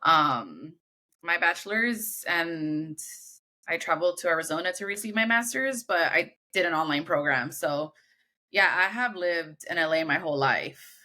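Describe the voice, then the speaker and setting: A woman's voice: fairly low pitch, expressive, moderate pace, bright, forceful tone. A woman from Los Angeles with an American accent, talking casually as a podcast guest. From Los Angeles, United States. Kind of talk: casual conversational interview.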